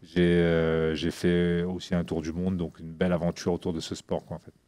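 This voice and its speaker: A middle-aged man from Réunion speaks in a very low, monotone voice. He answers in halting phrases, filling pauses and restarting often as he tells his story. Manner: halting, many fillers and restarts. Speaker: MMA coach and former champion. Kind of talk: interview.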